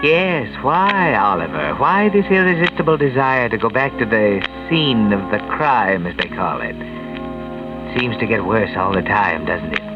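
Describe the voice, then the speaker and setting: A man's voice: fairly low pitch, very animated, measured pace. A man playing a sinister, all-knowing mystery narrator in 1940s radio style, ominous, theatrical and mocking. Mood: mocking, menacing. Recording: dull vintage radio recording with music.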